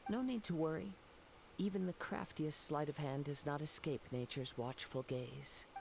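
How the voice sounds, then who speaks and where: A serene, lightly gravelly young swordsman. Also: on the high side, slight background noise, poor audio. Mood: composed, resolute. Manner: measured, formal, gentle. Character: serene young wandering swordsman, poetic and composed. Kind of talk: video game voice acting.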